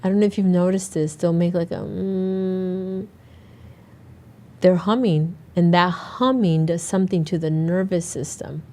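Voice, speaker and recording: A middle-aged woman hosts a solo podcast in a slightly low, raspy voice that is still hoarse from being sick. She talks in an earnest, conversational way and often circles back to restart a thought. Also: steady tone, measured pace, mellow. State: hoarse after a recent illness. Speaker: podcast host and naturopathic doctor. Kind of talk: casual solo podcast talk.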